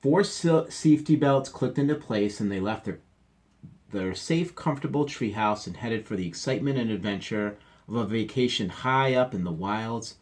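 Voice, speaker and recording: A man's voice: fairly low pitch, lively intonation, moderate pace, darkish tone. A male teacher reading a picture book aloud to children, stopping for chatty asides and sometimes dropping to a whisper.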